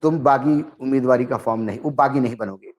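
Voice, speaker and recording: A man giving political commentary, speaking emphatically and deliberately in short phrases as he recounts dialogue. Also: medium pitch. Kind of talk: political commentary, narrating and translating a reported conversation.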